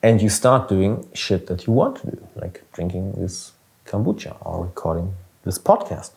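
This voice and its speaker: A man's voice: low voice, sing-song, normal speed, gravelly, a little mellow, poor audio. A young man with a German accent, hosting a casual motivational podcast in an earnest, emphatic way with some swearing. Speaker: podcast host and business coach.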